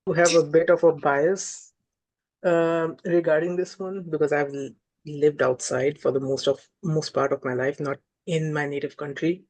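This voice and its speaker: A man's voice: fairly high pitch, flat delivery, normal speed, darkish tone, no room sound, poor audio. Hesitant young man from Kuwait. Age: young adult. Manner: hesitant, many uhs and restarts. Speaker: university student guest.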